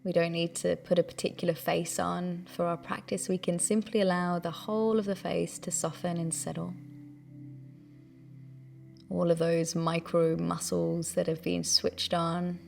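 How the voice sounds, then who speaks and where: Calm lady doing guided breathwork, soothing and gentle, bit of vocal fry, soft music behind her. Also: on the low side. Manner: calm, soothing, unhurried phrasing. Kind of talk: guided meditation, slow gentle instruction.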